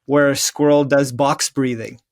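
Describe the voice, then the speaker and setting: A man's voice: medium pitch, fairly even intonation, measured pace. A middle-aged man talking reflectively as a podcast guest, earnest, building his points in emphatic, repeated phrases. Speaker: guest, children's story author. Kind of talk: reflective podcast interview conversation.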